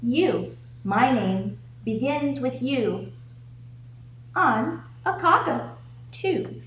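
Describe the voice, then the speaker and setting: Female storytime reader, slightly high voice, very slow and encouraging delivery for young kids, recorded in an echoey room. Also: expressive, fairly bright tone. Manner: encouraging, slow, sing-song prompting of young listeners. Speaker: library storytime host reading a picture book aloud. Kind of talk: read-aloud for young children with prompting questions. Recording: echoey room with faint background noise.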